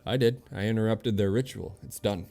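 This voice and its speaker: A low-pitched man talks in an easygoing, chatty way as a game streamer. He speaks straight to his viewers between bits of casual commentary, with faint game music behind him. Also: fairly quick, creaky, warm tone. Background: video game music.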